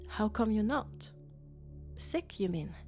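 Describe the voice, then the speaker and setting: A woman with a French accent, sick with a cold, playing a gentle, sociable traveling doctor from Mars in an intimate sci-fi audio roleplay, chatty and soft. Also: medium pitch, sing-song, slow, faint music behind. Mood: affectionate, playful. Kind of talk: intimate sci-fi roleplay acting, one-sided conversation.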